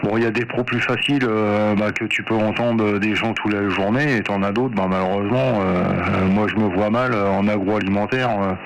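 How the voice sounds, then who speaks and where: A man's voice: on the low side, fairly even intonation, normal speed, few pauses. A man chatting casually over amateur radio, in a rambling, repetitive, informal way. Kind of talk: casual radio contact chat. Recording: noisy radio transmission with clicks.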